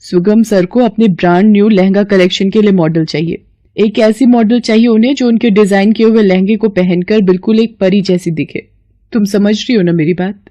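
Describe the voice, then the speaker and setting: A woman plays a haughty fashion house manager in an animated moral story. She talks down to people with open scorn. The tone is mellow but condescending and mocking. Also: medium pitch, normal speed, dry recording, close to an everyday voice. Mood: scornful.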